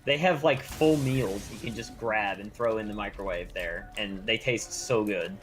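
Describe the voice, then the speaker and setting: A man's voice: fairly low pitch, moderate pace, a bit bright, soft background music. A young man co-streaming, chatting casually and with enthusiasm, often calling his friend "dude". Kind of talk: casual chat on a live co-stream.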